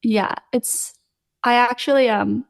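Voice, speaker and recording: Young woman, from Los Angeles, podcast guest, artist, slightly high pitch, hesitant casual talk, soft laughs. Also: fairly even intonation, takes it slowly, creaky. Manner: hesitant, many fillers and restarts, soft laughs. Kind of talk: casual interview chat.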